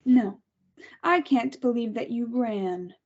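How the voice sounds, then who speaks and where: A woman's voice: fairly high pitch, slow pace, highs cut off. A woman reading a cold, self-righteous captor at a table read, making clipped, controlled threats. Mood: contemptuous.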